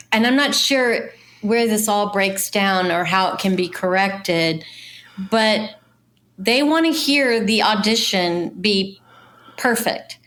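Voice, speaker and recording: A woman's voice: mid-range voice, fairly bright tone. Female casting director, mid-range voice, explanatory, conversational interview delivery with practical advice.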